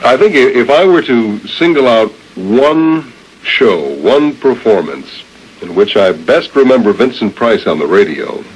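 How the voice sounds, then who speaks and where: A man's voice: fairly low pitch, very animated, a little slow, husky, rough recording. A man hosting a radio interview, speaking in formal, carefully built sentences with some hesitation. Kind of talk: interview conversation.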